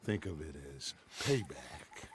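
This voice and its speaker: An actor playing a scheming, persuasive American outlaw gang leader, pompous and oratorical, in a western game cutscene. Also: male voice, rumbling low, very animated, slow pace, noisy background, heavily acted.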